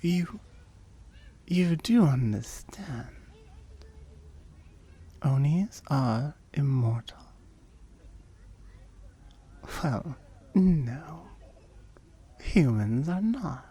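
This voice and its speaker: A woman voicing a seductive, smug oni demon in an intimate ASMR roleplay, breathy and often whispering as she slowly coaxes and teases. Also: sits low, lively intonation, dark tone, heavily acted. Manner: slow, coaxing, teasing.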